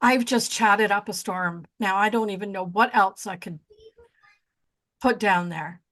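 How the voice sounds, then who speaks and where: A woman's voice: mid-range voice, a little slow, a bit bright, low-quality recording. A woman in her sixties co-hosting a podcast, chatting casually and rambling, often losing her train of thought and joking at her own expense. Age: 60s. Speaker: podcast co-host. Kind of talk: casual chat.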